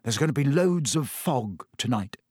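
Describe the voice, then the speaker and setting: A man's voice: medium pitch, expressive, moderate pace, darkish tone, husky, no room sound, clean studio sound. A man voicing a joking, laid-back teenage schoolboy with a wry, offhand delivery.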